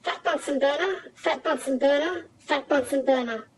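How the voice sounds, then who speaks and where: Sweet girl acted by a man, very high pitch, voice-changer effect, unclear, processed, noisy playback. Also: moderate pace. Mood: repetitive, neutral. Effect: voice changer pitched up to female.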